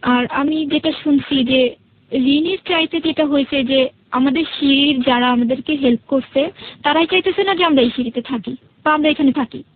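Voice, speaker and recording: A woman with a Bangladeshi accent telling her life story as a radio interview guest, in halting, repetitive, colloquial speech. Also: sits high, phone-quality sound. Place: radio studio.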